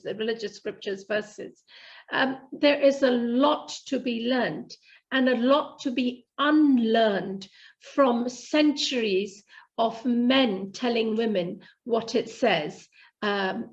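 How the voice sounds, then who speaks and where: Woman w/ a highish voice on a radio panel, muffled lo-fi audio, measured, reads out quotes slowly. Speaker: guest panellist. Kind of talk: explanatory talk with read-out quotations. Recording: dull lo-fi sound.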